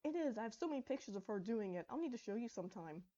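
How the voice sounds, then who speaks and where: A woman's voice: fairly high pitch, animated, rapid-fire, dark tone, muffled recording. A woman playing a chatty, fond young mother who is a pony princess, in a storybook fan fiction reading.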